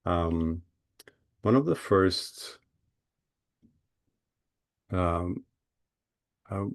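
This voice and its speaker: A man from Norway speaking as a guest on a video call, eager and warm in his words, often restarting and repeating words. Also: deep voice, expressive, drawn-out words. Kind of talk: casual talk on a video call. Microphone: computer mic on a video call.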